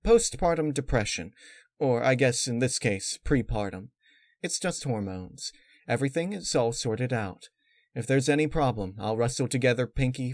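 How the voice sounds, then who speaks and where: A man's voice: mid-range voice, no echo, studio quality, natural voice. A man voicing an earnest, bookish young mare, a reassuring friend, in a story reading.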